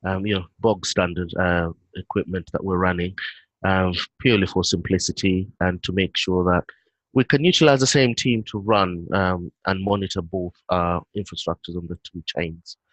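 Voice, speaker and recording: A British man on a video call, explaining his work in a relaxed way with frequent fillers. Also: low-pitched, moderate pace, no echo, muffled recording. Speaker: guest, head of operations.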